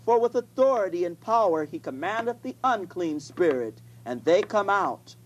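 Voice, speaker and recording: A reader delivering scripture in a deliberate manner, halting at times. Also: mid-range voice, expressive, poor audio. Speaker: Bible reader. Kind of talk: scripture reading.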